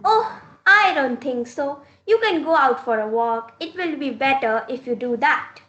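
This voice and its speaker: A woman's voice: sits high, animated, measured pace, fairly bright tone, muffled recording. A woman playing a strict mother who instructs her daughter firmly, in a classroom reader's theater play. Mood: doubtful, scolding. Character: strict, advising mother of a talkative young girl. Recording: roomy, echoing room sound.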